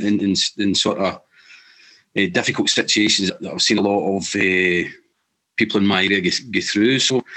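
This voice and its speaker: Scottish guest, middle-aged man, lowish pitch, earnest halting delivery. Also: a bit bright, poor audio. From Scotland. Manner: earnest, halting, many restarts. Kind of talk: casual storytelling.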